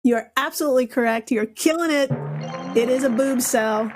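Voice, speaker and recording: A woman's voice: high-pitched, normal speed, soft background music. A giggly, irreverent woman reading out a comedic quiz casually as a podcast guest.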